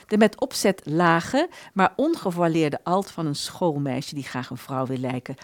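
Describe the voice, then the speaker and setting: A woman reading literary narration for a radio play in an expressive storytelling manner. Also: low-pitched, dry recording.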